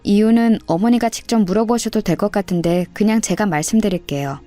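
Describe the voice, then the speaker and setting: A woman's voice: mid-range voice, fairly quick, mellow, close to an everyday voice. Betrayed wife acted by a female narrator, indignant, emphatic, cutting; radio-story drama with music beneath. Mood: cold resolve. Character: bitter, betrayed wife confronting her in-laws.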